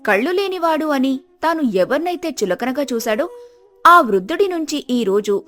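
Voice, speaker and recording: A woman's voice: high voice, big pitch swings, normal speed, pushed and bright, faint music behind. A woman storyteller reading scripted narration for a moral tale.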